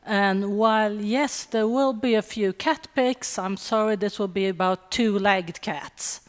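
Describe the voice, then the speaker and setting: Woman, Swedish accent, middle-aged, conference speaker, wry and chatty, mid pitch, long hall reverb. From Sweden. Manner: wry, conversational, with quick asides. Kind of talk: conference talk.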